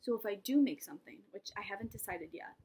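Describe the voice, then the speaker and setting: A woman's voice: mid-range voice, lively intonation, fast, darkish tone, husky. A young woman talking casually to camera in a vlog, rambling and self-mocking, with laughs and whispered asides. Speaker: vlogger.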